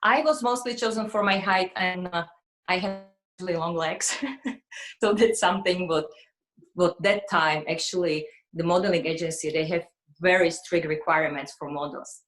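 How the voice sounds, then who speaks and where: Slightly low-pitched Slovak-accented woman. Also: steady tone, normal speed, fairly bright tone. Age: 40s. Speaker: interview guest, fashion model. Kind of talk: conversational interview.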